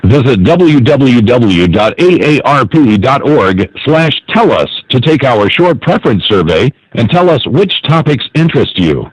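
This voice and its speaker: A man delivers scripted phone menu and hold messages with animated intonation. His mid-pitched voice is dry and comes over a telephone line. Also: measured pace, few pauses, slightly rough, no echo. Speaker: automated phone system announcer.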